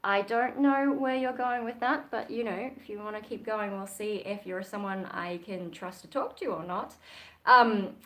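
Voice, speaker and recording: A woman's voice: mid-range voice, normal speed, a bit bright, a little hiss or hum. A young Australian woman chatting casually on a livestream, reading out and answering viewer comments. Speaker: YouTuber.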